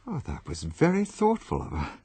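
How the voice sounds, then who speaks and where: An actor playing a courteous, well-bred gentleman with an upper-class English accent in a radio drama. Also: on the high side, wildly swooping pitch, moderate pace, dry recording, exaggerated voice. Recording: clearly noisy radio recording, clicks.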